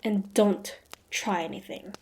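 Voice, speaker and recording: A woman's voice: medium pitch, fairly even intonation, takes it slowly, noisy background, close to an everyday voice. A woman playing a proud, suspicious young female hunter in a fantasy audio roleplay, guarded and easily flustered, with a grudging tsundere streak.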